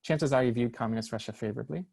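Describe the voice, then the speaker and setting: A man's voice: medium pitch, gravelly, a little mellow, slightly rough. Man reading an academic paper. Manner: measured, careful reading with occasional hesitations and restarts over names. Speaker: academic lecturer. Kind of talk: reading a prepared research paper. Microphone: online seminar, computer mic.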